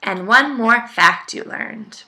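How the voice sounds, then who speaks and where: A woman's voice: a little high, slow pace, bright, forceful tone, rough recording. A woman teacher giving a read-aloud lesson to young children, speaking in an instructional, enunciated way. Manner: instructional, enunciated, posing questions to young listeners. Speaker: first-grade teacher.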